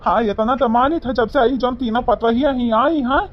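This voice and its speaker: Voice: medium pitch, moderate pace, natural voice. Performer as meek, complaining cartoon villager, muffled track. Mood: worried, complaining. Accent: eastern Uttar Pradesh. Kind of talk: cartoon comedy dialogue.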